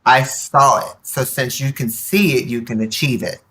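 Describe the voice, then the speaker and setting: A woman speaks candidly as an interview guest, in a very bright, forceful voice. She is animated and emphatic as she tells personal stories, slipping into quoted remarks. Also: extremely low pitch, normal speed, rough recording. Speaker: podcast guest, nonprofit board member. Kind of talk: candid personal storytelling in conversation.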